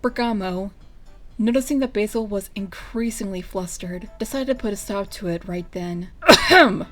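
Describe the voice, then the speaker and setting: A woman's voice: mid-range voice, moderate pace, mellow, faint music behind. A woman hosting a fan fiction channel, reading out a story as its narrator and talking to listeners. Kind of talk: scripted story narration, casual sign-off.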